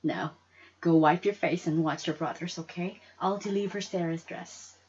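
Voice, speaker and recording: A woman voicing a tired, tender mother in a story read aloud, gentle and reassuring. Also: on the low side, fairly even intonation, normal speed, muffled recording, a little hiss or hum, close to an everyday voice.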